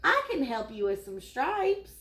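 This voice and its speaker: Woman voicing Sammy, cheerful high-pitched storybook hero. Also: big pitch swings, a little slow, fairly bright tone, heavily acted. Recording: echoey room.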